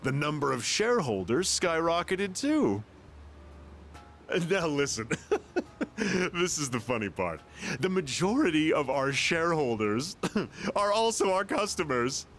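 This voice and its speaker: A smug bragging company boss. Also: male voice, fairly high pitch, very animated, a little slow, a bit bright, slight background noise, exaggerated voice. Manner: bragging, chuckling, pushy. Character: boastful male company owner, smug and salesy. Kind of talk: video game voice acting.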